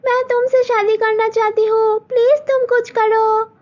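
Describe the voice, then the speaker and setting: A woman's voice: flat delivery, measured pace, a little mellow, lo-fi sound. A woman voicing a young village girl who pleads and weeps against an arranged marriage. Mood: tearful. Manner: high, pleading, sobbing at times.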